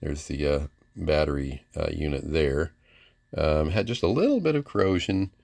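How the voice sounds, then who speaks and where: A man's voice: very deep voice, expressive, moderate pace, creaky, a little mellow, no echo. A middle-aged man giving a casual, unscripted demonstration of equipment in a folksy, hesitant, plain-spoken way. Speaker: ham radio hobbyist presenting a receiver.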